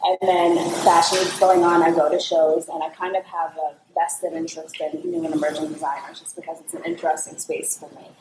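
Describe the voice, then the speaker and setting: A woman's voice: low-pitched, flat delivery, brisk, hardly any pauses, background noise. Casual woman editor, echoey room. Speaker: retail editor. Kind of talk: panel discussion.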